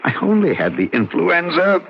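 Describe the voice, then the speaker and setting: A man's voice: a little high, sing-song, normal speed, creaky, slight background noise. An actor playing a wry, avuncular married man of about fifty, convalescing, in a radio drama. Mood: genial, dismissive. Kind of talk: radio drama acting with reflective narration. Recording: dull old radio drama recording.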